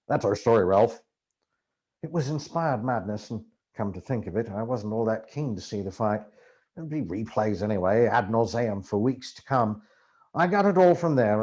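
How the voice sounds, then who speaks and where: A man reading a memoir aloud as an amateur audiobook narrator, with theatrical, expressive storytelling. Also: medium pitch, breathy edge. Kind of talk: dramatic literary narration of a memoir.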